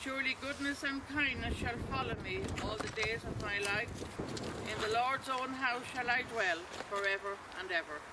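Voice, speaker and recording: A man reading scripture slowly and solemnly at an outdoor remembrance service. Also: very high voice. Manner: slow, measured recitation. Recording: outdoor recording with clear background noise.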